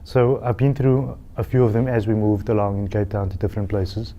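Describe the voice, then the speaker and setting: A man's voice: lowish voice, flat delivery, a little fast, dark tone, dull audio, slight background noise. A man in his thirties from South Africa with a South African accent, chatting as a guest on a talk show and laughing often. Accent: South African English. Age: 30s. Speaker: TV channel CEO, guest. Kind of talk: casual chat.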